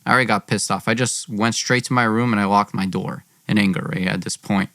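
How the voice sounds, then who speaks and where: A man's voice: on the low side, fairly even intonation, fairly quick, sharp tone, no echo. A young man telling a dramatic personal story as a podcast host, theatrical and slangy in his delivery.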